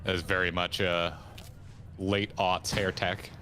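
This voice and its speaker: A man's voice: sits low, flat delivery, measured pace, noisy background. A man giving casual, wry and analytical reaction commentary as a streamer watching video game cutscenes. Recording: game music playing behind voice. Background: video game cutscene music.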